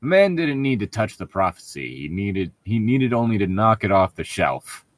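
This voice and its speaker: A man's voice: on the low side, very animated, normal speed, no room sound, studio quality. A man co-hosting a casual read-aloud of fan fiction, breaking in with irreverent, sweary, joking commentary.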